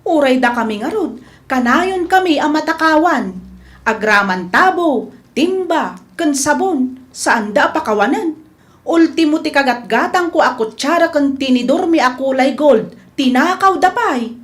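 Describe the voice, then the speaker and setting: An exasperated village housewife played by an actress in a radio comedy. Her voice is high, and she gripes emphatically. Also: lively intonation, a little slow. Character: exasperated village housewife griping about thieves. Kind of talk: comedy radio drama acting.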